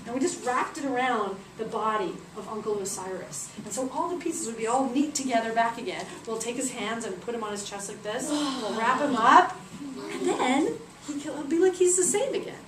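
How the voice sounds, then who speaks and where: A woman voicing an eager, inventive young male jackal-headed god, chatty and quick. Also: fairly high pitch, lively intonation, lightly acted. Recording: roomy, distant mic, background noise.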